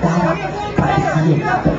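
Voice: takes it slowly, heavy reverb, natural voice. A performer voicing a bawdy, domineering sea witch in a live musical parody, theatrical and mocking, half singing. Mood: sly, bargaining. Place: outdoor night stage, live show. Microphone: distant audience camera. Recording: far, muffled live recording, loud music and crowd.

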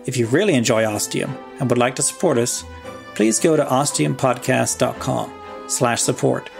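A man's voice: mid-range voice, normal speed, creaky. A man hosting a podcast, reading a scripted show promo and credits. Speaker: podcast host. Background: music.

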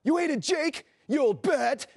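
A man's voice: very high voice, animated, a little slow, gravelly, no echo, studio quality, exaggerated voice. A man playing a cranky, boastful old husband who grumbles indignantly in broad comic style. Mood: grumbling, mocking.

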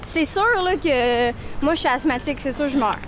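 High-voiced, animated Quebec French woman. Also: normal speed, background noise. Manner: animated, expressive, laughing. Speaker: travel vlogger. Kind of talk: casual travel vlog chat. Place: outdoors by the sea. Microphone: camera at arm's length.

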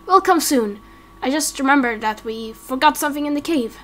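A woman's voice: high voice, a little fast, a bit bright. A woman voicing an earnest, anxious, protective young adventurer in an amateur game roleplay.

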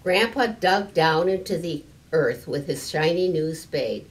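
A woman in her eighties reading a children's storybook aloud, haltingly, now and then repeating a word. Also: low voice, animated. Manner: halting, stumbling and repeating words. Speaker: story time reader. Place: library sitting area indoors.

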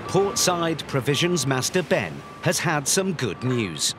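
A man's voice: fairly high pitch, big pitch swings, a little slow, background noise. A man delivering scripted narration for a documentary. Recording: music under the voice. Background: background music.

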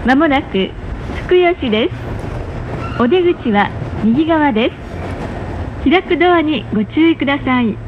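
A woman's very high voice delivers scripted announcements on a train. She is polite and formal, enunciating each phrase in a measured way. The sound is dull and muffled, with clear rumbling train noise behind it. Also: lively intonation. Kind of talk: pre-recorded scripted public announcement. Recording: muffled, noisy onboard train speaker recording. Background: rumble of a moving train.

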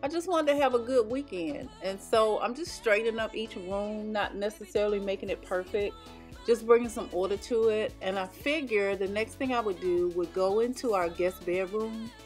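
A middle-aged woman narrates in a relaxed, chatty voice-over, explaining as she goes and pausing on the odd um. Her pitch sits in an ordinary middle range. Soft music plays quietly beneath her. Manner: chatty, explaining with frequent ums. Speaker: home vlogger.

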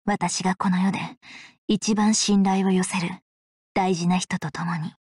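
A woman playing a composed, commanding young female leader in an anime visual novel game, with measured, formal, authoritative speech. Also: mid-range voice, fairly even intonation, darkish tone, husky, no echo, studio quality. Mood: solemn, measured. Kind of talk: Japanese visual novel acting and narration. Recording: background music under the voice.

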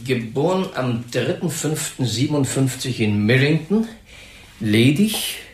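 An actor playing an officious, formal personnel manager in a crime radio drama, speaking very correctly. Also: male voice, mid-range voice, very animated, a little slow, a little hiss or hum. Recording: roomy, echoing interior sound.

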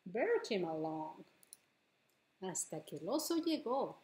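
A middle-aged woman hosting a bilingual storytime as a children's librarian, reading picture books aloud with deliberate phrasing for small children. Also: mid-range voice, very animated, darkish tone. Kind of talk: bilingual picture-book read-aloud for preschoolers. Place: living room at home, indoors.

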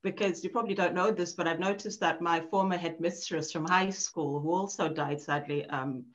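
A woman's voice: lowish voice, fairly even intonation, normal speed. A middle-aged woman giving a thoughtful academic talk from home on a video call, with frequent ums and restarts. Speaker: author at a book launch. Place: home room. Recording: dull lo-fi video call audio.

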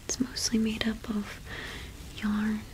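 A young woman whispering an ASMR roleplay, explaining gently and softly with unhurried pauses. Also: mid-range voice, completely flat delivery, noisy background. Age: young adult. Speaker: ASMR host in a cottage witch roleplay.

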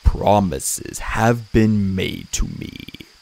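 A man voicing an ancient male horned god who speaks softly, slowly and without emotion, in a low rumble. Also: animated, creaky, full character voice. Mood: cold. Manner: soft, slow and deliberate.

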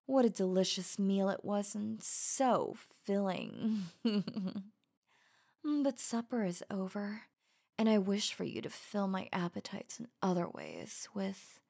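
Slow, sultry werewolf huntress acted by a woman. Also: medium pitch, lively intonation, warm tone, heavily acted. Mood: seductive, commanding. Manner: slow, breathy, intimate, often dropping to whispers. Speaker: audio roleplay voice actor. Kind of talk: ASMR roleplay.

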